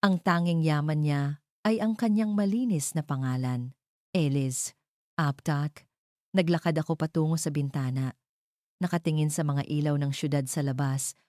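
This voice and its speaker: A woman's voice: deep voice, moderate pace, pauses often, a little mellow, dry recording, studio quality. A woman reads a scripted, dramatic first-person story as its narrator.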